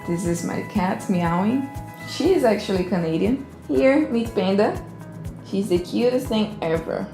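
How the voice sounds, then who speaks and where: A woman's voice: mid-range voice, animated, measured pace, vocal fry, roomy sound, over music. A young woman from Brazil with a Brazilian accent, talking casually to camera in English as her second language. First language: Portuguese. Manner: chatty, informal, many fillers. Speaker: vlogger.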